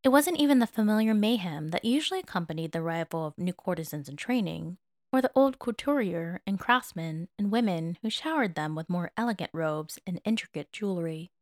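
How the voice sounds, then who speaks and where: A woman's voice: on the low side, animated, fairly quick, dry recording, studio quality. A woman narrating fan fiction as a dramatic audiobook.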